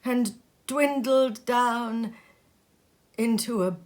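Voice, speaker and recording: A woman reading a story aloud in an expressive, measured and dramatic narrating style. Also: fairly high pitch. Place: sitting room at home.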